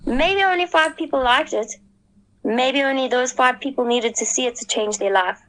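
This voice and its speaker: A woman's voice: high-pitched, moderate pace, a bit bright, rough recording. A woman and mother speaking as a panelist in a casual podcast discussion, earnest and thoughtful, with many fillers.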